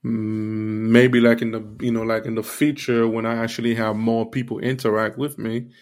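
A man's voice: fairly low pitch, little pitch movement, moderate pace, darkish tone. A man born in Haiti hosting a faith podcast, talking straight to his listeners in an earnest, preachy way with many fillers. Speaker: podcast host. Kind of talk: casual faith podcast monologue.

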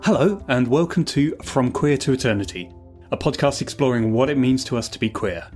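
A man hosting a podcast interview, speaking in a friendly, measured way. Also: mid-range voice, animated, loud music behind the voice. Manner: friendly, measured, scripted intro then chat. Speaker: podcast host.

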